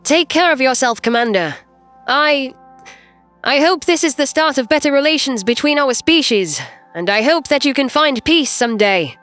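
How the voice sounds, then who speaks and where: A woman playing a composed human starship captain in a sci-fi audio drama, earnest, measured and persuasive. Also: sits high, lively intonation, fairly bright tone, faint music behind. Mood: sincere. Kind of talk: audio drama character acting.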